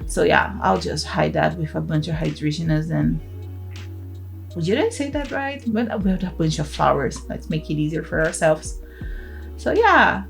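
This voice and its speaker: A woman's voice: low-pitched, big pitch swings, measured pace, vocal fry, faint music behind. A young woman from Brazil, a native Portuguese speaker, talking in English with a Brazilian accent in a casual, laughing commentary over her game build. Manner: chatty, laughs often, restarts words. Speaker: gaming channel host.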